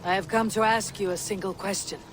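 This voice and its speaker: A woman's voice: medium pitch, fairly even intonation, a little fast, background noise, lightly acted. An actress playing a stern, proud Viking warrior woman, terse, commanding and formal, in a video game cutscene. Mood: composed.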